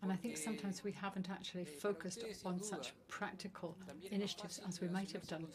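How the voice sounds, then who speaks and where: A woman interpreting formal meeting speeches live, in halting, phrase-by-phrase English delivery. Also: medium pitch, steady tone. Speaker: simultaneous interpreter. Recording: loud crowd murmur behind.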